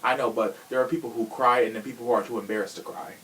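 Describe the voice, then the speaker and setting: A man's voice: mid-range voice, steady tone, racing, fairly bright tone, slight background noise. A man hosting a casual true crime podcast, talking in a sassy, frank way with profane slang. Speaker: podcast host.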